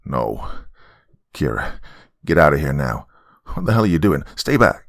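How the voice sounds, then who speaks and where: A man's voice: a bass voice, lively intonation, moderate pace, creaky, slightly rough, no room sound, clean studio sound, exaggerated voice. A man playing a desperate, hot-tempered father who pleads and bullies, in a dramatic audio story. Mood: stern, agitated.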